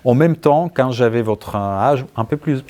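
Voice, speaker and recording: Male author with Greek accent, medium pitch, thoughtful and halting interview delivery. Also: a little mellow. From Greece. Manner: halting, searching for words, thoughtful. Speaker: writer being interviewed. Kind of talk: conversational answers at a book talk.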